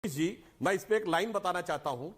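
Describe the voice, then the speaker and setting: High-voiced man arguing a TV debate point. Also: lively intonation, fairly quick, husky. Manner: forceful, rhetorical, lists points. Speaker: debate panelist. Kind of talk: heated TV debate argument.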